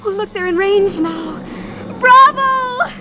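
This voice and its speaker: A woman's voice: very high voice, expressive, slow pace, dull audio, over loud music, heavily acted. An actress playing a naive, carefree rich young girl in old radio drama style, breezy and innocent. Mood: thrilled, breezy. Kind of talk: 1940s radio drama acting. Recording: noisy vintage radio broadcast.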